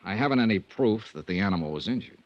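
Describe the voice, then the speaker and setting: Man acting a dogged, low-voiced radio detective. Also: lively intonation, quick pace, no echo, lightly acted. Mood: skeptical, pressing. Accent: American. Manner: clipped, dry, persistent questioning. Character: dogged, cool male insurance investigator, hard-boiled detective. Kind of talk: 1950s radio detective acting, first-person narration. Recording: dull vintage radio recording.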